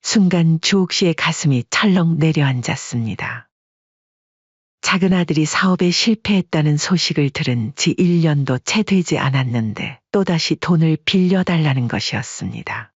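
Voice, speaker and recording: A man narrating a family story in calm, measured audiobook style. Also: on the high side, husky, dry recording, lo-fi sound.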